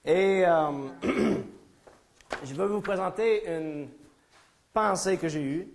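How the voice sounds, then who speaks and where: A man's voice: high voice, takes it slowly, gravelly. A man from Ontario whose first language is English, giving a live conference talk in French with hesitant, self-deprecating humor and many fillers and restarts. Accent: English-speaking Ontarian speaking French. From Ontario, Canada. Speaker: lecturer. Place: lecture room before a small audience.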